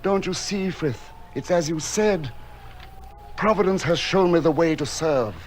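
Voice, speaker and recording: A man playing a gentle, noble, resolute recluse in a radio play, speaking with solemn, theatrical earnestness. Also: a little high, unhurried, lightly acted. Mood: impassioned. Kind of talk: dramatic radio play acting.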